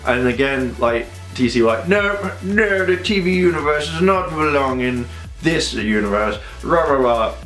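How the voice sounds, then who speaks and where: A man with a head cold, talking casually to a camera on a tripod in a rambling, animated way, breaking into sudden high-pitched exclamations. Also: a little slow. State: congested from a cold. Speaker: vlogger. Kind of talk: casual opinion vlog to camera. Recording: echoey room with faint music.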